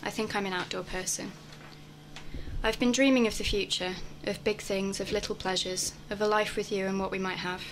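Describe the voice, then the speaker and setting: A woman's voice: mid-range voice, steady tone, moderate pace, lightly acted. A young woman acting in a radio play, delivering scripted personal monologues. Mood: wistful. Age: young adult. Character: wistful young woman longing for home.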